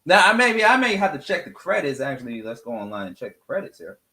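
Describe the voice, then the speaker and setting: Male show host, higher-pitched and expressive, casual music chat, emphatic repetition, echoey room. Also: quick pace. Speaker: radio morning show host.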